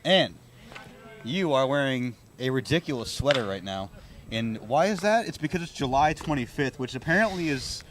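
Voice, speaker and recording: A man's voice: mid-range voice, expressive, moderate pace, noisy background. A man hosting a sports radio broadcast, doing pregame talk and play-by-play commentary.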